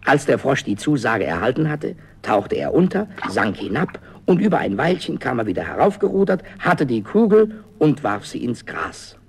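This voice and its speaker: A man's voice: mid-range voice, very animated, normal speed, vocal fry, few pauses, a little mellow, breathy edge. A man narrating a fairy tale in a dramatic, scripted storytelling style.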